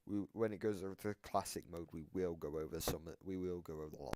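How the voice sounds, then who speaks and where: Low-voiced man commenting over background noise. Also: fast talker. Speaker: reaction video co-host. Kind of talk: casual commentary on a horror fan game.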